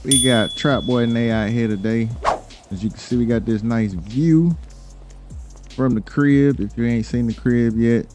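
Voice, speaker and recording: A man's voice: mid-range voice, measured pace, music in the background. An American man giving casual, chatty gameplay commentary in a slangy, laid-back, rambling way. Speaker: gaming YouTuber hosting a roleplay video.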